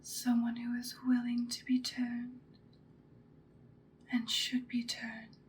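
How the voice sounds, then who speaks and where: Woman doing an ancient vampire lady for asmr, whispery n super slow, seductive but menacing, bit of noise behind. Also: a little high, monotone. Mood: grave, alluring. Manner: slow, deliberate, breathy whispering. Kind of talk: ASMR personal-attention roleplay, whispered.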